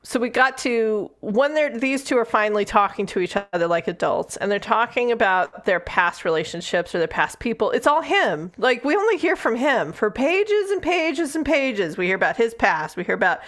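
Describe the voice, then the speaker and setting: A woman with a fairly high, animated voice chats casually as a podcast co-host. She is candid and irreverent about books, swearing freely as she goes. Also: normal speed, hardly any pauses. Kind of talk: casual chatty book discussion.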